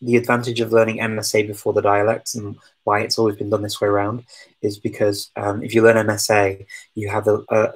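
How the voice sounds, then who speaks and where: A man's voice: fairly low pitch, poor audio. A man answering viewer questions in a casual live chat, talking hesitantly with many ums and restarts. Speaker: language-learning streamer answering viewer questions.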